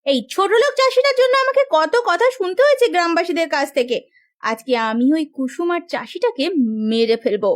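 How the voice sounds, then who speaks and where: Casting: snobbish melodramatic cartoon sister-in-law, piercingly high, wildly animated delivery. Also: female voice, a little fast. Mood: scornful. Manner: scornful, theatrical. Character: haughty, spiteful, melodramatic young sister-in-law villainess.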